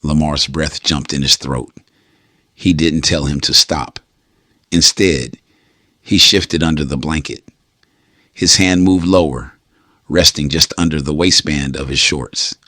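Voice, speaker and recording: A man narrating a late-night romance story in a slow, hushed, intimate way. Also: extremely low pitch, no room sound, clean studio sound. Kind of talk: scripted storytelling.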